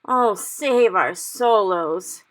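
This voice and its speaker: A woman's voice: lowish voice, lively intonation, moderate pace, creaky, exaggerated voice. A woman voicing a big, friendly male giant who mangles his grammar and cries out in anguish over a caught nightmare. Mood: distraught. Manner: ungrammatical made-up words, loud anguished exclamations.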